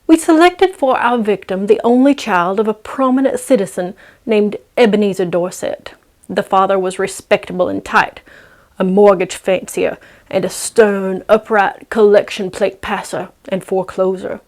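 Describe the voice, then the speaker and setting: A woman's voice: mid-range voice, moderate pace, a little mellow. Woman storyteller reading a classic tale. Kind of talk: dramatic audiobook-like story narration.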